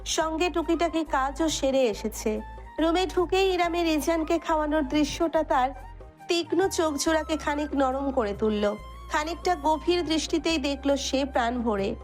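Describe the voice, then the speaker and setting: A woman narrates a romantic audio story in a high-pitched voice. She reads from a script, and music plays underneath her. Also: normal speed. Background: background music.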